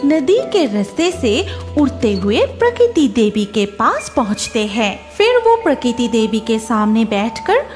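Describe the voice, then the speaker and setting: A woman's voice: a little high, sing-song, unhurried, darkish tone, faint music behind. A woman narrating a children's cartoon story from a script.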